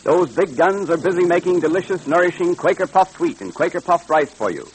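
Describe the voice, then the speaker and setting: A man's voice: on the high side, expressive, a little fast, a little hiss or hum, poor audio. An American man announcing and selling to children in 1930s radio style, with a hearty salesman's delivery.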